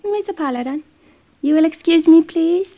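High-voiced, huffy maid. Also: female voice, normal speed. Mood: huffy, polite. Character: huffy but polite young Chinese hotel maid. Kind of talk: 1950s radio acting. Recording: muffled, noisy old radio recording.

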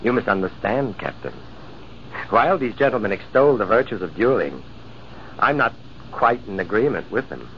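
An actor playing a bitter, jealous young lieutenant in a 1940s radio drama, with a sneering manner, on a dull, hissy old recording. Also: male voice, lowish voice, animated, normal speed, close to an everyday voice. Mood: mocking.

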